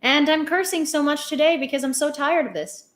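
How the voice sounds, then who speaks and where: High-voiced, outspoken Ukrainian woman. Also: fairly quick, reverberant. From Lviv, western Ukraine. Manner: outspoken, emphatic, repeats herself for stress. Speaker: livestream news host. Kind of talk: casual livestream news commentary.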